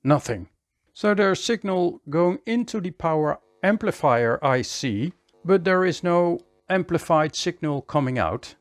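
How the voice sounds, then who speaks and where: A man giving a hands-on electronics repair tutorial in a measured, step-by-step way, often repeating short phrases. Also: fairly high pitch, animated.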